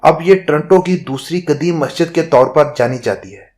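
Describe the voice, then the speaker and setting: A man narrating a scripted news report in a formal, measured manner. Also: a little high, darkish tone.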